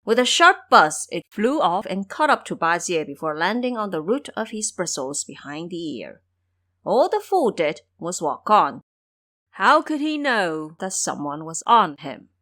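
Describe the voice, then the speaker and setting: A woman narrates an audio drama in a scripted storytelling style. Her pitch is very lively, rising and falling across each sentence. It sits in a middle range for a woman. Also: a little slow.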